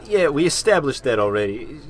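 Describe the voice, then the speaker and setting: A man's voice: mid-range voice, big pitch swings, a little fast, close to an everyday voice. A man playing a goofy, boozy, needling barfly in an old-style radio comedy, speaking in period slang. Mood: dim, amused. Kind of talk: 1940s radio acting.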